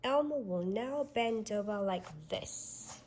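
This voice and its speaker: A woman reading a children's storybook aloud with expressive, sing-song delivery aimed at young children. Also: medium pitch, takes it slowly, a little hiss or hum. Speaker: storybook reader.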